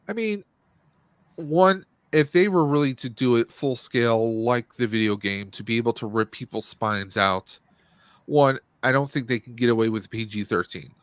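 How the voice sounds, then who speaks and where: Man, casual review chat, mid-range voice, halting and rambling, close dry recording. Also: mid-range voice, no room sound. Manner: rambling, halting, searching for words. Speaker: live stream co-host reviewing movies. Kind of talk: casual chat, movie commentary.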